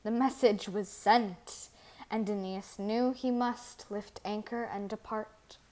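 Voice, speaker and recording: A woman's voice: medium pitch, fairly even intonation, takes it slowly, darkish tone. A woman reading scripted dramatic narration in verse, as the storyteller of a play. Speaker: reader and narrator.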